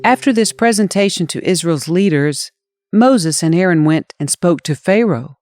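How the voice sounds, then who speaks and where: A woman narrating scripture as an audio Bible reader, in an expressive, measured storytelling style. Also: on the low side.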